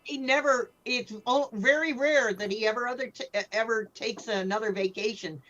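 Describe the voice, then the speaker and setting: A woman explaining things as an expert panelist in a casual discussion, thoughtful and somewhat halting, on slightly lo-fi audio. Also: fairly high pitch, fairly bright tone. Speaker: panelist and author.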